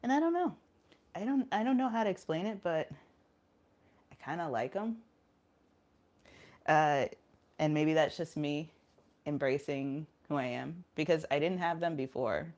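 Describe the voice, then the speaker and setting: A woman with a low voice, stuffy and nasal from a cold, chatting in an unhurried, low-energy way. She rambles with plenty of ums while squatting as she talks to her viewers. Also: expressive, a little mellow, lo-fi sound. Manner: talking while doing squats. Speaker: vlogger. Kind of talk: casual chat vlog, streaming live.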